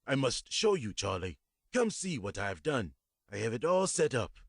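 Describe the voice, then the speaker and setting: A man voicing a kindly, polite old man with a faint foreign accent, speaking gently and warmly. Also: mid-range voice, sing-song, moderate pace, gravelly, dry recording, studio quality, pitched above the performer's own voice. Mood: solemn, earnest. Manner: gentle, warm, softly spoken.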